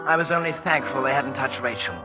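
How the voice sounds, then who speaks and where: A man acting an urgent, hot-headed young Victorian gentleman in an old radio suspense drama. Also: medium pitch, quick pace, lightly acted. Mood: breathless narration. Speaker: lead actor and narrator of the story. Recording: dull vintage radio recording, orchestral music.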